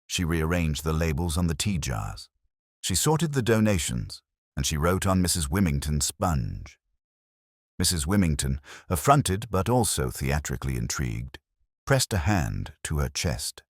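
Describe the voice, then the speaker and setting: A man's voice: a bass voice, very animated, unhurried, no room sound, clean studio sound. A man giving scripted narration of a village mystery story.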